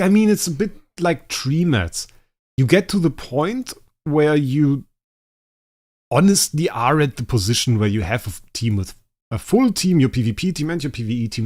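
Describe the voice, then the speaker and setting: A young man, a native German speaker, casually thinking aloud as he streams a game, his sentences often trailing off quietly. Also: medium pitch. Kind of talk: casual livestream commentary.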